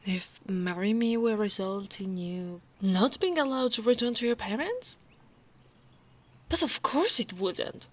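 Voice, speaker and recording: A woman with an Italian accent voicing a tall, devoted female orc royal guard in a soft, breathy, gently teasing ASMR roleplay. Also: mid-range voice, lively intonation. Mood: hopeful, sincere. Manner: soft-spoken, unhurried, often dropping to a breathy whisper. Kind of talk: ASMR romantic monster roleplay.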